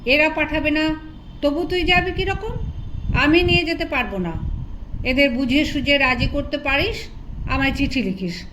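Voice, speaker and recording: A woman's voice: high voice, normal speed, pauses often, background noise, lightly acted. A woman narrator voicing a meek, harmless father in an audio story, exasperated and reasoning with his daughter. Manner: pleading, exasperated.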